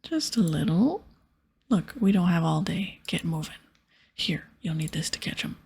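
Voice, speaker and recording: A woman voicing a gruff, bossy male pirate captain in a breathy ASMR whisper, with piratical dialect. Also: fairly low pitch, lively intonation, moderate pace, a little mellow, exaggerated voice. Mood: bossy, explaining. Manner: piratical dialect, explaining and ordering. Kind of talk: whispered ASMR game dialogue.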